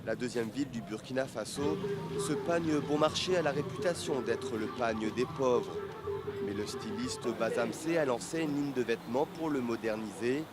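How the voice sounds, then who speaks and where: A man's voice: medium pitch, normal speed, over a noisy crowd. Man reading a report voice-over. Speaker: news reporter. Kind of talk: scripted narration.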